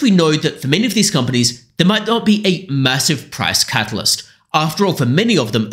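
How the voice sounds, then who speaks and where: A man's voice: fairly high pitch, a bit bright. A young man hosting a finance channel, speaking analytically to camera into a studio mic in a small room. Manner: measured, analytical, gestures with his hands while talking. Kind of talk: explanatory finance commentary to camera. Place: small room with painted artwork behind. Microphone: large studio mic in front of the camera.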